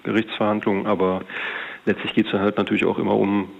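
A man's voice: fairly low pitch, little pitch movement, fairly quick, vocal fry, muffled recording. A middle-aged man, a police detective, giving a wordy, hesitant, matter-of-fact account in an interview.

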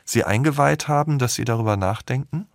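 A man interviewing a guest, asking gentle, formal questions. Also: medium pitch, brisk, dry recording, clean studio sound.

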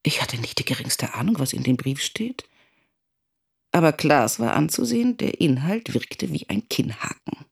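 A worried middle-aged mother in a radio play. Her voice is husky and full of creak, and it moves in lively swings as she candidly tells her own story. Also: low voice, moderate pace, exaggerated voice. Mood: indignant, wry. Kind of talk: dramatic first-person monologue.

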